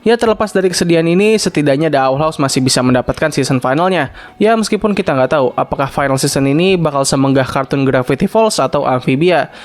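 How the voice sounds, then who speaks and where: A young man with an Indonesian accent delivers a casual recap commentary. His voice sits quite high for a man and he talks quickly. The wording is informal and conversational, explaining things point by point. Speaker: video reviewer and recap narrator.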